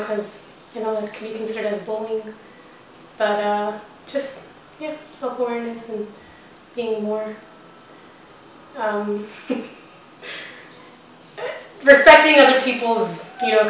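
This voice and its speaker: A woman's voice: medium pitch, a bit bright, a little hiss or hum. A woman answering interview questions casually, hesitant, with many fillers and restarts. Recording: echoey room from a distance.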